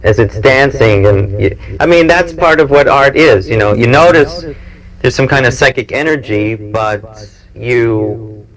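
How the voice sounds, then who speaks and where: A man in his forties hosting a show, talking informally in a musing, halting, rambling way. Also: mid-range voice, rough recording. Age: 40s. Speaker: cable TV show host and producer. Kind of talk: informal interview talk and reminiscence. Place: small equipment-packed studio. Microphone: clip-on mic.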